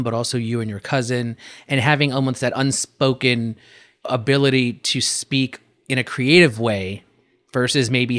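A man hosting a design podcast, reading a scripted introduction and then asking a guest questions in a lively conversational way. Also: mid-range voice. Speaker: podcast host. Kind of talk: podcast interview.

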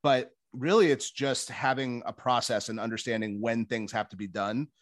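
A man's voice: medium pitch, steady tone, normal speed, dry recording, clean studio sound. A man chatting casually in a group as a wine professional, explaining things with self-assurance. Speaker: wine professional and cooking competition contestant.

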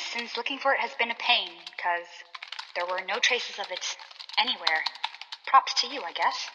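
A woman's voice: fairly high pitch, natural voice. A woman playing a desperate writer in an audio drama, leaving a melodramatic, pleading message. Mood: wary, sardonic. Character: desperate female writer being drained into her story. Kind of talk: dramatic monologue left as a phone message. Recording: muffled, very noisy, long reverb.

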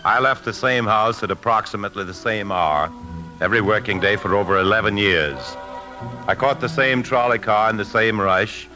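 Actor as deep-voiced, brooding bank manager. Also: male voice, normal speed, over music, lightly acted. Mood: brooding, matter-of-fact. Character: weary, tempted bank assistant manager, man, brooding narrator. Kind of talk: 1950s radio drama acting with first-person narration. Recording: 1950s radio broadcast recording.

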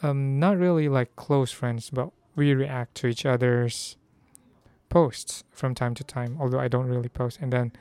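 A hoarse young man from the Philippines, whose first language is Filipino, chatting casually about learning Korean and stopping to restart his sentences. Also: medium pitch, fairly even intonation, measured pace, darkish tone, dry recording. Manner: chatty, self-correcting, reads phrases aloud.

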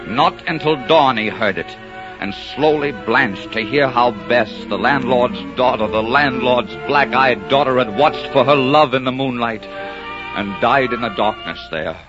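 A man performs a poetic storyteller of tragic romance, theatrical and solemn, in a mid-pitched male voice. Phrases are drawn out as verse in 1940s radio drama style. The old recording is dull, with loud music underneath. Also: lightly acted.

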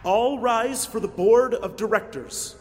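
Man acting a high-voiced, formal security aide. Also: unhurried, a little mellow, echoey room, big-room sound, a little hiss or hum. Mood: deferential. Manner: formal, deferential, announcing. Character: formal, dutiful security aide to corporate directors. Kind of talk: audio drama acting.

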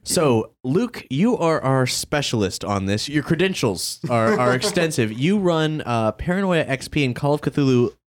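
Regular guy hosting the show, mid pitch, asks guests stuff. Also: big pitch swings, normal speed, hardly any pauses. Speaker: podcast host. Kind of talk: casual podcast chat.

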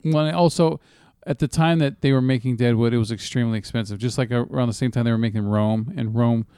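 A man chatting casually as co-host of a movie review show, profane, halting and often repeating himself. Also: medium pitch, steady tone, warm tone, clear, resonant tone, dry recording, studio quality. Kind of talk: casual chat, film commentary.

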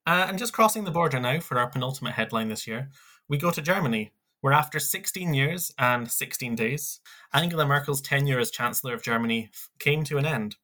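A man co-hosting a scripted news roundup, reading headlines in a measured way with small asides. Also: medium pitch, expressive, pushed and bright. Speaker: news podcast co-host.

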